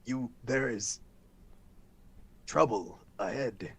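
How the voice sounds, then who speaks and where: Ominous stone spirit, low murmur, tabletop game voice, by a man. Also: slow, a bit bright, husky, exaggerated voice.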